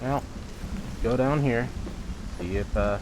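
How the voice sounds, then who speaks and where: A man's voice: medium pitch, slow. A man giving casual let's play commentary, muttering short, musing remarks to himself as he plays. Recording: clear background noise, game ambience.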